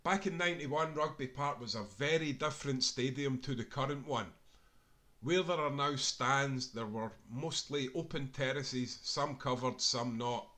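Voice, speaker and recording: A man's voice: medium pitch, a little slow, pushed and bright. A Scottish man reading a scripted sports history book aloud, as an author narrating his own work.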